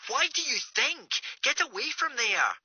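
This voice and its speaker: A man voicing a frantic young woman on the phone, talking in urgent, hushed bursts. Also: very high-pitched, sing-song, normal speed, slightly rough, no echo, pitched above the performer's own voice, exaggerated voice. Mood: panicked. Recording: dull, muffled recording.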